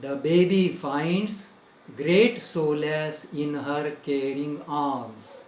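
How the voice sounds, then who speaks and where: A man's voice: on the high side, drawn-out words, a little mellow, reverberant, a little hiss or hum, low-quality recording. A man with an Indian English accent teaching a grammar lesson. He repeats phrases for dictation and spells words out letter by letter.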